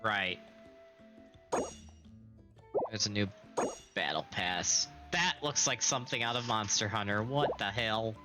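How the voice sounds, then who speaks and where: A young man streaming a game in casual chat, recovering from illness and slightly raspy, clearing his throat now and then. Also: on the low side, loud music behind the voice. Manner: slow, chatty, occasional coughs and throat clearing.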